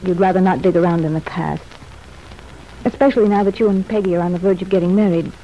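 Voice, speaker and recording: Actress playing earnest young ex-wife, mellow tone, confiding, measured, radio soap opera, dull vintage recording. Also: female voice, fairly low pitch, close to an everyday voice. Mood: earnest, apologetic. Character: contrite young ex-wife, earnest, reflective, once scheming. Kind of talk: old-time radio soap opera acting. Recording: noisy, band-limited vintage radio recording.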